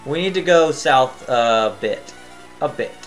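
A tired man giving casual, chatty commentary as he plays a video game, thinking out loud and humming to himself. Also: mid-range voice, expressive, slow, soft background music. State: tired, voice near cracking. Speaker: gaming channel host.

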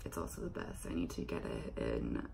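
A woman's voice: very deep voice, brisk, gravelly, background noise. A young woman in her twenties chatting casually while doing her makeup in her bedroom, losing her voice and talking half in a whisper, rambling and self-deprecating. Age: 20s. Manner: half-whispering, softly to keep quiet for roommates. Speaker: lifestyle vlogger. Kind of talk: casual get-ready-with-me makeup chat. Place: bedroom, indoors. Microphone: phone or laptop camera at arm's length.

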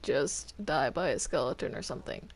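A woman chatting casually in a game roleplay, with a hesitant, halting way of talking. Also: a bass voice, animated, gravelly, slight background noise. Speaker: player in a Minecraft roleplay server. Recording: music behind the voice. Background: music.

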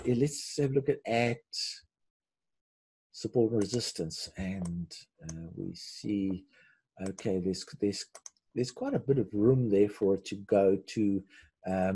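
An older man hosting a live webinar, giving unscripted commentary in a halting way with repeated words and restarts, his voice husky. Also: fairly low pitch, warm tone, rough recording. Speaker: webinar host teaching forex chart analysis.

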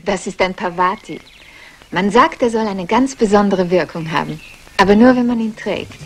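An actress plays a fearful, trusting lady with a medium-pitched female voice. She answers politely and a little hesitantly in the manner of an old radio play. Faint music sits behind her. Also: normal speed, close to an everyday voice. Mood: earnest. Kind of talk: 1960s German radio drama acting.

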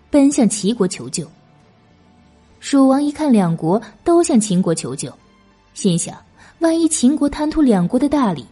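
A woman's voice: on the high side, dark tone, husky. A woman narrating a historical novel as a scripted audiobook.

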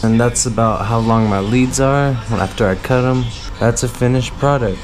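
A man's voice: medium pitch, moderate pace. A man in his twenties chatting casually to his vlog in a laid-back, slangy way, recorded on a handheld action camera. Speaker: vlogger for a vape brand team. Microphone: action camera at arm's length. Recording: noisy action-camera audio with ambient hum.

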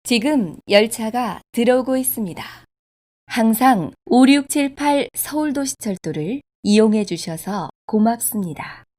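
A woman reading scripted subway station announcements in a formal, polite, measured way. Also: on the high side, lively intonation, a little mellow. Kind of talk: scripted public transit announcement.

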